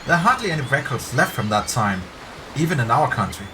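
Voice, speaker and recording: A man's voice: medium pitch, very animated, brisk, natural voice. A man voicing a talkative, uneasy young woman archaeologist in a story read aloud. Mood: apologetic. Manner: talkative, apologetic, hushed confiding. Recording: clear background ambience noise.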